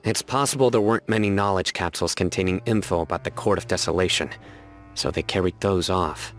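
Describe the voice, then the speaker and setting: An actor voicing a stern, composed young warrior in a game, speaking in a measured, serious way. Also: male voice, low voice, soft background music, close to an everyday voice. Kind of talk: game cutscene voice acting.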